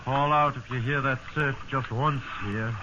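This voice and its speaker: An actor playing a weary, commanding ship's captain adrift in a lifeboat, in 1950s radio drama style with measured delivery. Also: male voice, mid-range voice, fairly even intonation, background noise, natural voice. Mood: weary, steady. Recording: dull old radio, loud sea ambience.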